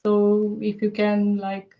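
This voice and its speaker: A woman with a Romanian accent, a guest on a casual interview, speaking hesitantly with many fillers and quick laughs over a video call. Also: mid-range voice, completely flat delivery, highs cut off. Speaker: guest artist.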